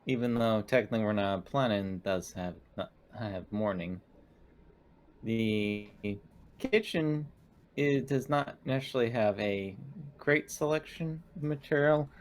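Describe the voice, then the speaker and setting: A man's voice: medium pitch, animated, natural voice. Man voicing a polite, literal ship's android. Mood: courteous, matter-of-fact. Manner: formal, literal, measured.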